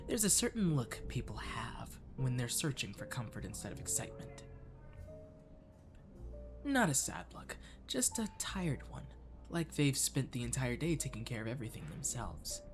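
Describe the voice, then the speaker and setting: A man acts out a friendly catboy cafe waiter for an ASMR roleplay. He is courteous and flirty, coaxing the listener gently at a medium male pitch, with clear music behind him. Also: big pitch swings, normal speed, close to an everyday voice. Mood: caring, playful. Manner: attentive, gently coaxing, lapsing into whispers. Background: clear background music.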